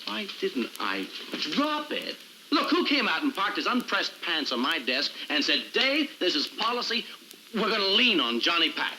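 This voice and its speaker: An actor playing an indignant, driven city prosecutor in an American crime drama, with a wry, wordy, sarcastic manner. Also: male voice, sits high, big pitch swings, normal speed, pushed and bright, slight background noise, full character voice, poor audio.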